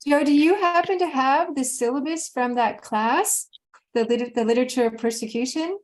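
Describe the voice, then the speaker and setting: A woman's voice: high voice, normal speed, low-quality recording. A woman editor co-hosting an interview on a video call, chiming in with remarks and follow-up questions. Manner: chatty, adds remarks and follow-ups. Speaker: magazine editor, co-host. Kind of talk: conversational interview. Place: home room.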